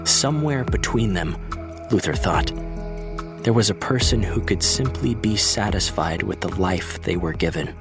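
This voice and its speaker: A man playing a brooding narrator of a gothic vampire tale, reading solemnly with measured, dramatic pauses. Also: lowish voice, lively intonation, vocal fry, over music. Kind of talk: scripted story narration.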